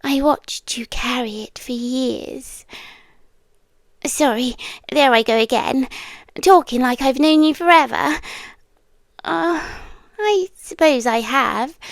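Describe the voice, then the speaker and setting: A British woman playing a lonely, possessive demon girlfriend in an intimate ASMR roleplay, breathy and coaxing, often slipping into whispers with lingering pauses. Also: high-pitched, no echo, studio quality, exaggerated voice. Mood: wistful, intimate. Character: lonely, possessive yandere demon girlfriend, once human, soft-spoken. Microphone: close ASMR microphone, dry.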